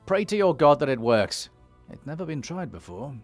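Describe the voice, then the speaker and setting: An actor playing a resolute emissary and elder in a fantasy video game, firm, serious and authoritative. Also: male voice, a little high, big pitch swings, moderate pace, no room sound, soft background music. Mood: solemn. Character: commanding male dragon-blooded emissary and elder, resolute hero. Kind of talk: dramatic video game acting.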